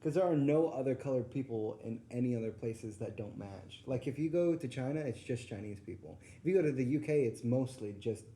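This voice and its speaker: Deep-voiced joking woman in a roomy space. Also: lively intonation, dark tone, a little hiss or hum. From United States. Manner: joking, teasing, quick reactions. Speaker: podcast co-host. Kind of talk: casual chat.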